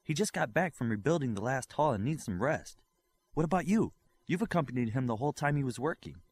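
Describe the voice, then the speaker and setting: A man's voice: medium pitch, lively intonation, fairly quick, husky, pitched above the performer's own voice. Man reading as a caring young heroine. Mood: curious, concerned. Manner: inquisitive, concerned. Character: young female superhero healer, caring and curious.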